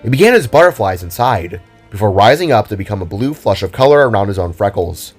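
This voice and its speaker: A man's voice: on the low side, big pitch swings, quick pace, breathy edge, soft background music. A man narrating a scripted audio drama in a dramatic storytelling style.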